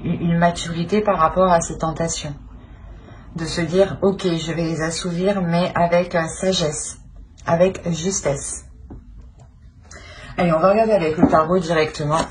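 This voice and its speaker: A woman giving an informal tarot reading, speaking haltingly as she searches for words and often clears her throat. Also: on the low side, slight background noise, low-quality recording. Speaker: tarot reader.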